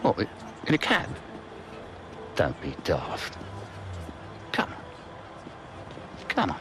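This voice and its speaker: A man's voice: sits low, huge pitch swings, slow pace, music in the background, exaggerated voice. An actor playing a middle-aged father and football scout in a game drama, casual, coaxing and evasive. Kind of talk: video game cutscene drama.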